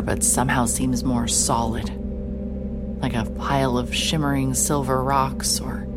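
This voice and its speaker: An actress playing a hard-hearted private investigator, narrating a noir audio drama in a terse, deadpan, brooding manner. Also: female voice, extremely low pitch. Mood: puzzled, intent. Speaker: narrator and lead character. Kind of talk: first-person noir scripted narration.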